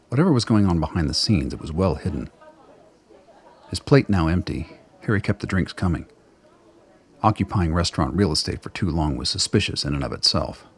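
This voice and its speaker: A man with a husky, gravelly voice narrating a scripted radio drama as a storyteller. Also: low voice.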